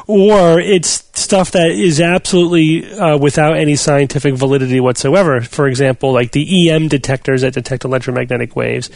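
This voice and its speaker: A man hosting a podcast and leading a casual panel discussion in a measured, explanatory way. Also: a little high, steady tone, creaky, a little mellow. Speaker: podcast host.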